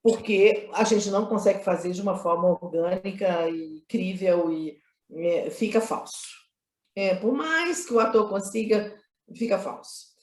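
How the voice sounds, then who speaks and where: A woman's voice: mid-range voice, lively intonation, a little slow, a little mellow. Echoey woman explaining emphatically. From Minas Gerais, Brazil. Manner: emphatic, repeats phrases, frequent tag questions. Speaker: film director being interviewed. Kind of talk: conversational interview, explaining creative choices. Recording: roomy, echoey sound.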